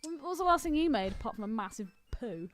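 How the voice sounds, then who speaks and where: Teasing woman, slightly high voice. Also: sing-song, fast, loud music behind the voice. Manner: teasing, sweary. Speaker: podcast co-host. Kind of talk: casual banter.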